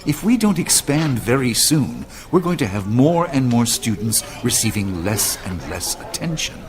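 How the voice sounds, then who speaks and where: Actor as earnest, formal schoolman, expressive pitch. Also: natural voice. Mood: grave, urgent. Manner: formal, measured, persuasive. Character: earnest, courteous male school leader, gravely concerned. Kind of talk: audio drama acting. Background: music.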